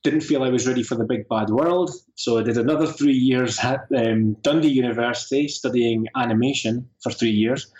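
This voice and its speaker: A man's voice: medium pitch, a little mellow, dull audio. A man in his forties, a designer answering interview questions in an easygoing way, hesitating with many ums. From Scotland. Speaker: guest, graphic designer. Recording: echoey room.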